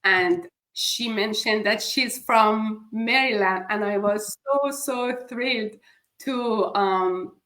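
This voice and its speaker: A woman's voice: medium pitch, fairly bright tone. A middle-aged woman from Iran hosting a live chat, speaking hesitantly with many fillers. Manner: slow, hesitant, frequent fillers. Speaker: host.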